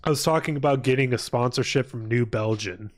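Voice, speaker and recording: A man hosts a casual livestream in a medium-pitched voice. He rambles irreverently to his chat and swears freely as he goes. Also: a little fast. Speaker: live streamer.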